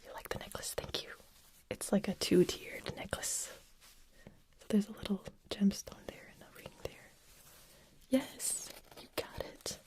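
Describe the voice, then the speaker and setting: A woman's voice: medium pitch, measured pace. Whispering woman hosting an ASMR livestream. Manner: soft whispering, chatting with viewers while making trigger sounds.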